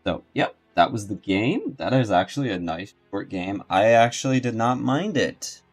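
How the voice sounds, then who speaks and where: Male game streamer, low register, casual and rambling commentary over light background music. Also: animated, moderate pace, slightly rough. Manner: rambling, thinking aloud. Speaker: video game streamer and host.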